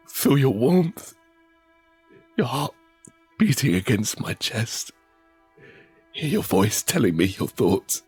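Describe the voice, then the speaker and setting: An actor playing a guilt-ridden survivor in an audio drama, speaking in halting, intense first person. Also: male voice, mid-range voice, darkish tone, slightly rough, full character voice. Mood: anguished, longing. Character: grief-stricken guilt-ridden male survivor, narrating his ordeal.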